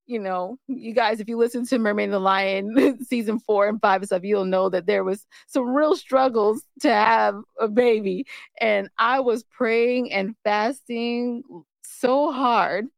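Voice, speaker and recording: Female co-host, fairly high voice, close dry sound, playfully argumentative and expressive in casual couple banter. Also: measured pace, creaky, no room sound. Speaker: co-host of a couple's relationship show. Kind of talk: casual, teasing relationship banter.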